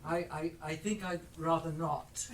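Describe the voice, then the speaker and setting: A man's voice: fairly high pitch, fairly even intonation, measured pace, close to an everyday voice. A man on stage playing a skeptical, blustering old miser who is easily frightened, in theatrical style. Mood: timid, hesitant. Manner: blustering, stammering when frightened. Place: theatre stage, recorded live. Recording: distant live stage play recording.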